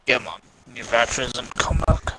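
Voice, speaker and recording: Gravelly guy chiming in on a sim race, plain delivery, clicky noisy mic. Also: mid-range voice, expressive, normal speed. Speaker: co-commentator. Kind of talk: live esports commentary.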